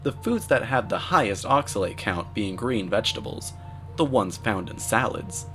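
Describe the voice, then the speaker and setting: Deadpan low-voiced man narrating over music. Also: moderate pace. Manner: deadpan, mock-serious. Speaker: narrator, video commentator. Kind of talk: scripted commentary narration.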